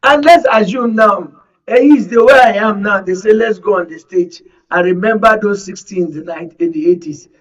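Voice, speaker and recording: A man's voice: high voice, moderate pace, few pauses. A man with a Nigerian accent chatting animatedly as a panelist on a radio show. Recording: distant, slightly muffled studio audio.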